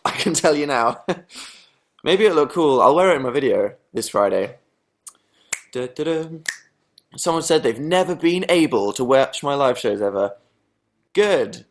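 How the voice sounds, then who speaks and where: A young Englishman hosts a relaxed live chat, answering viewers one by one. His humour is dry and sarcastic, often turned on himself. His voice sits at an ordinary middle pitch. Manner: sarcastic, deadpan self-mocking jokes. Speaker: livestream host. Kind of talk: casual live chat reading viewer comments.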